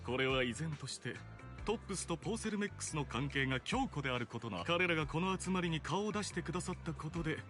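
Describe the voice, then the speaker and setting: A courteous, composed host of high standing in a video game. His voice sits a little high and runs very briskly through formal, polite phrases. Faint music plays beneath him. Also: expressive, fairly bright tone. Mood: formal, measured. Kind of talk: scripted Japanese game voice acting.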